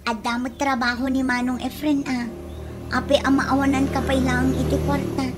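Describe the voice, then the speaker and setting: Actress as a high-voiced, blunt Ilocano younger sister. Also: female voice, level tone, normal speed, music in the background, lightly acted. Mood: teasing, blunt. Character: nosy, blunt younger sister living in a boarding house. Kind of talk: comedy radio drama acting. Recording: noisy radio drama recording.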